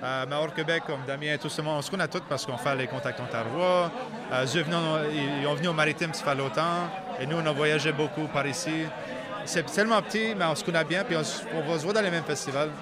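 An Acadian man talks casually as an interview guest, slipping English "so" between phrases. His voice sits a little high. A busy urban crowd is clearly heard around him. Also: quick pace. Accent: Acadian French. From Nova Scotia, Canada. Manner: drops English "so" into sentences. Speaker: musician interviewee.

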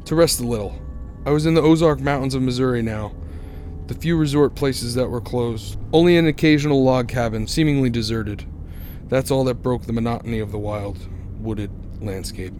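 A man's voice: medium pitch, a little mellow, close to an everyday voice. Haunted young motorist played by a man for radio drama: earnest, anxious narrator over faint music. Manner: earnest, dramatic, stammering when agitated. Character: haunted, anxious young male cross-country driver narrating his ordeal.

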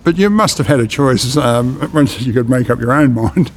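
A man's voice: mid-range voice, expressive, normal speed, slight background noise. Conversational male podcast host. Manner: conversational, prompting with short murmurs of agreement. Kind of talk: podcast interview.

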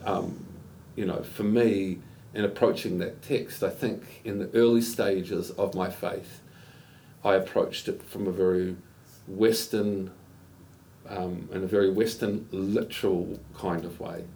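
Deep-voiced New man, measured. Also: steady tone, dark tone, low-quality recording. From New Zealand. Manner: measured, explaining step by step with restarts. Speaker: guest. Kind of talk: reflective interview answer.

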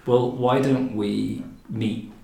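A man's voice: medium pitch, steady tone, takes it slowly, creaky, a little mellow. A man hosting a conversational podcast interview about the historic house he directs. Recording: echoey room.

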